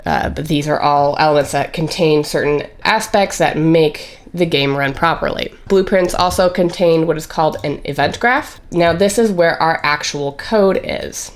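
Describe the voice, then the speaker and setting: A woman with a notably low voice, still getting over a cold, talks through a software tutorial as the instructor. She explains step by step in a measured way, often pausing on "uh" and "basically". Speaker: course instructor. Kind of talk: screen-recorded software tutorial.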